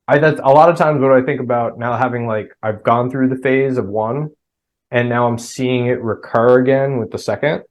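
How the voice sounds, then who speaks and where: A man's voice: mid-range voice, steady tone, normal speed, lo-fi sound. A middle-aged man with a New Jersey accent, talking casually as a podcast guest. He rambles and often restarts his sentences. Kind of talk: casual chat.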